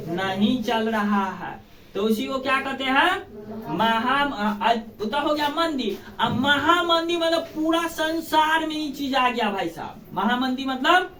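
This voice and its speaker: A woman teacher with a fairly high voice and a Bihari accent, recorded in a very echoey room a little away from the microphone. She speaks colloquially, urging her pupils on with rhetorical questions and frequent tag questions to check they understand. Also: fairly bright tone, recorded from a distance. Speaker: school history teacher. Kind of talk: informal classroom lesson with rhetorical questions.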